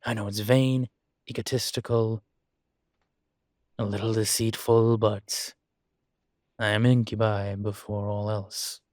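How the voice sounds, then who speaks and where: A man playing a vain, seductive yet politely awkward incubus demon professor in an intimate audio roleplay, speaking hesitantly with drawn-out phrasing. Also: on the low side, little pitch movement, a little mellow, dry recording, clean studio sound. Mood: vain, confessional. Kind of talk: intimate audio roleplay, one-sided conversation.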